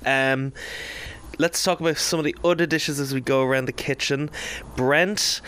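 A man's voice: a little high, fairly even intonation, moderate pace, pushed and bright, clear, resonant tone. A man co-hosting a podcast in casual, effusive review chat. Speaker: podcast co-host.

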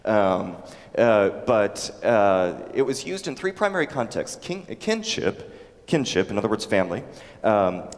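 Deep-voiced man lecturing in a hall. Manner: halting, many ums and restarts. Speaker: teacher. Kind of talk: theology lecture with audience questions. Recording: echoey hall with long reverb.

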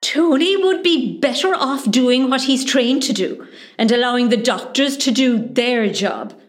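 Woman acting as officious female hospital boss, highish voice, brisk, formal, radio comedy. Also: animated. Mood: stern, scolding. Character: stern, bossy, officious senior female hospital staffer.

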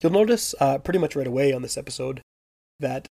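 A man's voice: medium pitch, fast, creaky. A man hosting a local music podcast, talking casually with many fillers while losing his voice. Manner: relaxed, rambling with frequent fillers. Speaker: podcast host. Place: basement.

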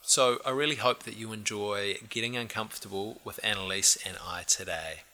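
Bright-voiced New podcast host. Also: male voice, on the low side, normal speed, slight background noise. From New Zealand. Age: 30s. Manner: upbeat and friendly, asks questions. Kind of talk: podcast interview.

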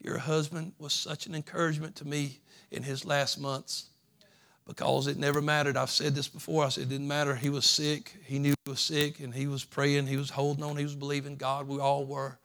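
A man preaching a sermon in a slightly high voice. He talks in a folksy, repetitive way, moving from soft conversational stretches into loud, fervent preaching. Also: level tone, a little fast. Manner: folksy, repeats phrases. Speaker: pastor.

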